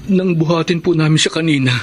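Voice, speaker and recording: A man playing a devoted, gentle and sentimental elder brother in a Filipino radio drama. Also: fairly high pitch, fairly even intonation, fast talker, slight background noise, lightly acted. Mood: tearful. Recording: radio drama with music underneath.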